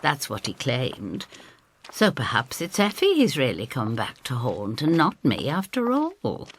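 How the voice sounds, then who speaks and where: Low-pitched, animated woman storyteller. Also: unhurried, a little hiss or hum. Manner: expressive, theatrical storytelling. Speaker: first-person storyteller. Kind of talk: scripted dramatic narration in character.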